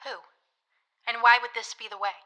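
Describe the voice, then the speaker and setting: Woman playing a bright-voiced teenage girl detective. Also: on the high side, fairly even intonation, moderate pace, dry recording. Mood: skeptical, exasperated. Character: teenage girl, wannabe detective, loyal and skeptical. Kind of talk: audio drama acting and narration.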